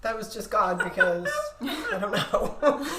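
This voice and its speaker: A middle-aged woman with a loud, projecting voice telling personal stories casually as a podcast guest, in an animated way, acting out conversations. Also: mid-range voice, takes it slowly, echoey room, noisy background.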